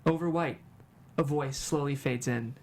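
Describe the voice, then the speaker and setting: Mid-pitched narrator reading screenplay directions. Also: unhurried, a little mellow, slight background noise. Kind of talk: reading stage directions at a table read.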